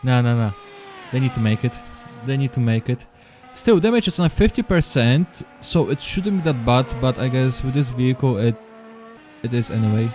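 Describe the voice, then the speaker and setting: A man with a Polish accent calls a sim race live in hesitant, halting English, repeating words as he goes. His voice sits in a middle pitch, and soft music plays faintly under the car sounds. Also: animated. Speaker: sim racing commentator. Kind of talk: live esports race commentary.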